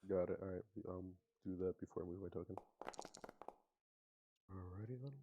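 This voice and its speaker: A man's voice: low-pitched, normal speed, phone-quality sound, noisy background. A man playing a tabletop roleplaying game, chatting casually. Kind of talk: casual chat during online game.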